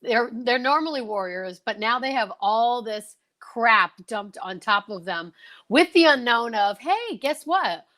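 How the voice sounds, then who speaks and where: A woman's voice: a little high, expressive, a little slow, fairly bright tone. A woman co-hosting a live comedy stream with exuberant, teasing, casual banter, hyping up her guests. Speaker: co-host of a live comedy show.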